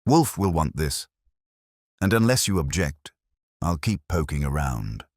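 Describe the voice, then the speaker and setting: A very deep, husky male actor's voice as a sardonic hardboiled detective's sidekick, telling a mystery audiobook. The delivery is dry and wisecracking, recorded close and dry. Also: sing-song, a little slow, a little mellow, no room sound, full character voice. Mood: wry, probing. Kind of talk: first-person detective audiobook narration.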